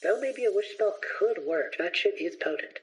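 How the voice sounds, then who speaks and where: A woman's voice: medium pitch, expressive, moderate pace, slightly rough, rough recording. A young woman playing a cheeky, easygoing, adventurous male student in a fantasy audio drama, with a breezy, quippy delivery. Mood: excited, reassuring.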